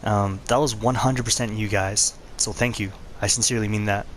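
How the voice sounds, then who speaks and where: A man with a hoarse, worn-out voice hosting a casual gaming vlog, talking in a laid-back, conversational way. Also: on the low side, fairly even intonation, fairly quick, slight background noise. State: hoarse, losing his voice. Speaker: channel host.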